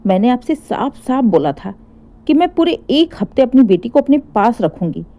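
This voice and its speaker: A woman's voice: fairly high pitch, very animated, moderate pace, warm tone. A woman voicing an indignant older mother defending her married daughter in a story, firm, sarcastic and emphatic. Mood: indignant, accusing.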